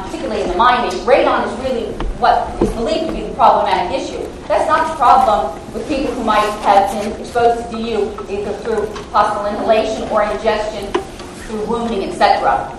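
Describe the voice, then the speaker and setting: A woman's voice: a little high, fairly quick, background noise. A woman scientist giving an academic lecture into a handheld microphone, self-deprecating and hesitant with many fillers. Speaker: guest lecturer, lab scientist. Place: large lecture hall. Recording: echoey hall, mic far off.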